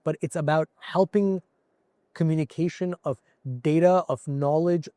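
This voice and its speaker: A man's voice: on the high side, fairly even intonation, normal speed, dark tone, no echo. A man from India, a company CEO, answering interview questions in an earnest, explanatory way with examples. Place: conference floor.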